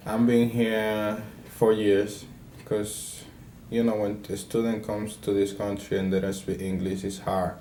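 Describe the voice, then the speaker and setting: A young man from the Dominican Republic introducing himself on video, halting with many fillers and restarts. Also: on the low side, level tone, warm tone, reverberant, slight background noise. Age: young adult. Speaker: graduating senior.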